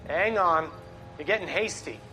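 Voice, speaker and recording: A man's voice: fairly high pitch, normal speed, bright, forceful tone, soft background music, low-quality recording. An actor playing a cocky, menacing 1940s mob boss in a video game cutscene, with needling, slangy tough-guy talk. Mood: smug, menacing.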